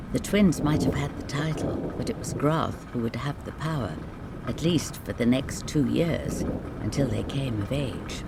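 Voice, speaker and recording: Animated, gravelly storyteller over falling rain. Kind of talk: scripted bedtime fairy tale narration. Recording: clear rain and rumble noise behind. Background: rain ambience.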